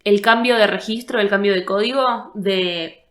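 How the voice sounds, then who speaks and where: A woman's voice: medium pitch, normal speed, fairly bright tone, roomy sound. A young Argentine woman hosting a podcast, speaking in a dry, blunt, casual way. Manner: blunt, dry, uses "vos". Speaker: podcast host. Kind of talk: casual conversation.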